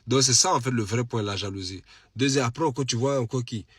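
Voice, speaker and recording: A man's voice: medium pitch, fast talker, a bit bright, slightly rough, no echo, clean studio sound. A man with an Ivorian French accent and a head cold, chatting casually and animatedly to followers on a live stream, repeating phrases for emphasis. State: has a cold. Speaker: social media live streamer. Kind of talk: casual late-night live chat to followers.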